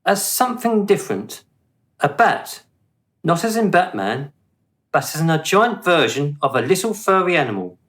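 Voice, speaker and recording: A courtly male suitor, acted by a man in a radio drama. He is polite and a little hesitant, and his pitch rises and falls in lively sweeps. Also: normal speed. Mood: gallant, playful. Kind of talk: radio play acting.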